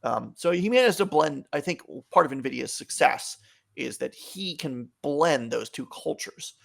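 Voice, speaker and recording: A man's voice: on the high side, lively intonation, vocal fry. A man being interviewed as an author, giving long, thoughtful answers with occasional restarts. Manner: thoughtful, fillers and restarts, measured explanation. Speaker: author, guest.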